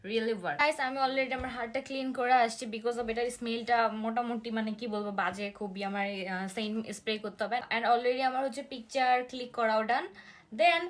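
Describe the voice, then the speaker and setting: A young woman with a Bangladeshi accent talks in a casual, excited, chatty vlog style, mixing in English. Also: on the high side, brisk. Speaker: vlogger. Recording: noticeable background noise, clicks.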